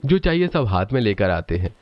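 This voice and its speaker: A man's voice: lowish voice, sing-song, brisk, lo-fi sound. A man narrating a scripted family cartoon story.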